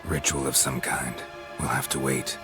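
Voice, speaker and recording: A man playing a gruff, stoic monster hunter in a video game, with terse, deadpan delivery and a gravelly voice. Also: very deep voice, moderate pace. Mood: dry, subdued. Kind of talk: video game cutscene acting. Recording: loud music under the voice.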